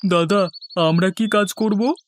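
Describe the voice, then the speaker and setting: A man's voice: sits high, steady tone, takes it slowly, a little hiss or hum. A man playing a younger village brother who follows along, in a cartoon fairy tale. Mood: eager.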